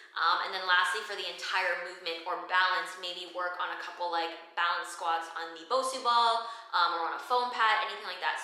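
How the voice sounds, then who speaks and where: A woman's voice: lowish voice, sharp tone. A 25-year-old woman answering fan questions in a casual, upbeat vlog from a home gym, chatty with lots of "um" and "like". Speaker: fitness creator. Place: home gym room with windows. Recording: very echoey bare room.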